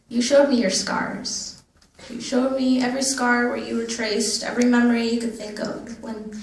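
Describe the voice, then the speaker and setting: A young woman performing spoken-word poetry in an earnest, dramatic manner with drawn-out phrases. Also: high-pitched. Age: young adult. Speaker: poet. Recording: echoey room, distant microphone.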